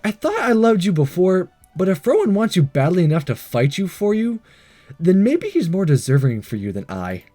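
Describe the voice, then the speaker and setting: A man's voice: sits high, wildly swooping pitch, normal speed, warm tone, soft background music. A man doing a let's play, reading a visual novel aloud with casual, sarcastic asides and the odd stumble over words. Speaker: let's player.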